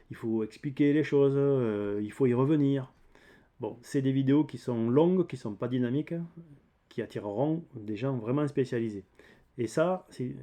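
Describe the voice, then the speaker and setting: Male DIY vlogger, southern French accent, soft-edged relaxed tone, unscripted and hesitant delivery that wanders as he explains. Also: mid-range voice. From south of France. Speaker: DIY video channel host. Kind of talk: casual unscripted vlog talk.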